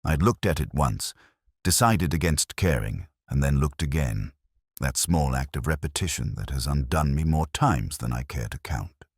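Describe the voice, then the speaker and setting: A man playing a weary, sharp-minded police detective, narrating a mystery in a measured, literary, wry and brooding way. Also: extremely low pitch, lively intonation, husky, no room sound, studio quality, full character voice. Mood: weary, reflective. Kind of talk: scripted first-person detective narration.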